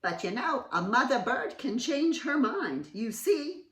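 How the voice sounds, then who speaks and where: A woman's voice: high-pitched, very animated, measured pace, fairly bright tone, heavily acted. A woman voicing a contented, homely mother bird in a children's picture book, with an emphatic singsong delivery. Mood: warmly assured. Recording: roomy echo, distant mic.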